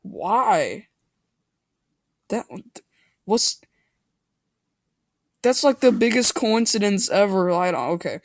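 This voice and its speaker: A man's voice: sits high, sing-song, slow pace, long pauses, no echo, clean studio sound. Goofy man reading with mocking asides. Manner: goofy, mocking, theatrical, breaking off to comment. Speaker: reader and commentator. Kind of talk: mock dramatic reading of amateur fiction with joking asides.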